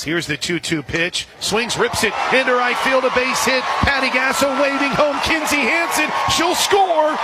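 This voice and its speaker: A man calling a softball game live as a radio play-by-play announcer, in a folksy, chatty way with listeners. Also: extremely high pitch. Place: outdoor softball stadium. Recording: loud crowd and outdoor stadium noise. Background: crowd noise, outdoor ambience.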